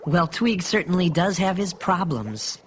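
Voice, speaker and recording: An actor playing a gentle, earnest toy bear who narrates a children's audio storybook. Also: male voice, sits high, sing-song, measured pace, a little hiss or hum.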